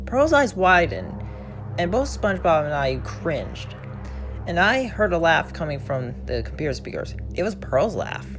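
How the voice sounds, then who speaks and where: A woman's voice: on the low side, big pitch swings, measured pace. A woman narrating a first-person horror story in a dramatic reading, with music behind. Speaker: creepypasta narrator.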